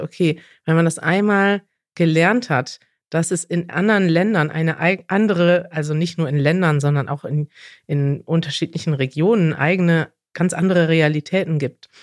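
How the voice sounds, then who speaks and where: A woman from Germany co-hosting a podcast, explaining things clearly and chatting in a lively way. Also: low-pitched, no room sound, clean studio sound. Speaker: podcast co-host. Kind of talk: casual podcast chat, explaining.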